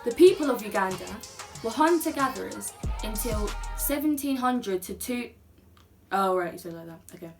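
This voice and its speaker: A woman's voice: medium pitch, lively intonation, normal speed, vocal fry, music in the background. A young woman reading aloud in a casual chat, stumbling over words and giggling. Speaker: guest co-host.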